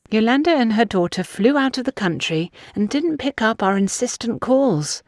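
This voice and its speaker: A woman's voice: mid-range voice, a little mellow, no room sound, clean studio sound, close to an everyday voice. A woman voicing an insistent, gossipy older woman in an audiobook, emphatic and confiding. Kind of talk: audiobook character dialogue.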